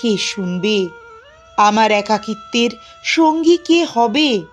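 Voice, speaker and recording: A woman giving an expressive, dramatic poetry recitation in a plaintive, emotive manner with drawn-out pauses. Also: fairly high pitch. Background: music.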